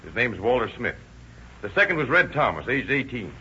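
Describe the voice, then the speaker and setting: A confident American police officer in a vintage radio crime play. His voice is bright and forceful at a middling pitch, authoritative and plain-spoken. The old recording is dull and hissy. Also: very animated, brisk. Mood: methodical, assured. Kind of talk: 1930s radio drama acting.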